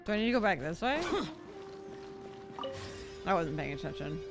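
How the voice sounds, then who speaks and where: A young adult woman streamer chatting casually over gameplay, informal and opinionated, thinking out loud. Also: lowish voice, animated, moderate pace, creaky, over music. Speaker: game streamer. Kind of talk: casual live gameplay chat.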